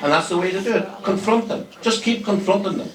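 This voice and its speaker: A man's voice: sits high, fast. A man speaking on a panel at a public meeting, impassioned and emphatic, in a large hall. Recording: echoey hall, distant mic, noticeable hiss.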